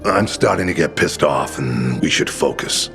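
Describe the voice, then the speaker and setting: A gruff older resistance leader in a video game. His voice is extremely low and full of gravel, and he talks in blunt, sardonic, bitter bursts. Music plays clearly behind him. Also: animated, moderate pace, full character voice. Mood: bitter, angry. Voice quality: deep, gravelly. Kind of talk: video game character dialogue.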